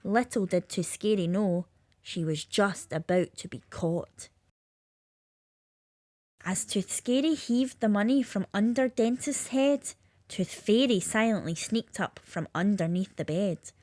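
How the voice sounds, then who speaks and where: A woman's voice: mid-range voice, lively intonation, measured pace, a little mellow, dry recording. A woman with a lilting, sing-song delivery, reading a rhyming children's story aloud.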